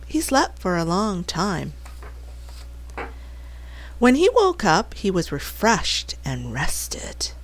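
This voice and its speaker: A woman narrating a children's story expressively, with deliberate, dramatic emphasis. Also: lowish voice, huge pitch swings, slight background noise, rough recording. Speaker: storybook reader, teacher.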